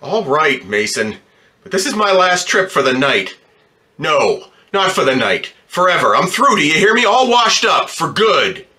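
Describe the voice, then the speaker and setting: A man's voice: fairly high pitch, very animated, measured pace, bright, forceful tone, exaggerated voice. A man playing an embittered, distraught ambulance driver in slangy, stammering old-time radio drama style. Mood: bitter defiance. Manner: slangy working-class talk, stammering when upset.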